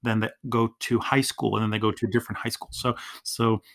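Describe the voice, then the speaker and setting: A man's voice: on the low side, flat delivery, fairly quick, creaky, fairly bright tone. A Swedish man speaking English as a second language, a teacher chatting in an interview, often restarting his sentences. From Sweden. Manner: restarts a lot, acts out classroom dialogue. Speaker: ESL teacher, podcast guest. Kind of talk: casual interview conversation.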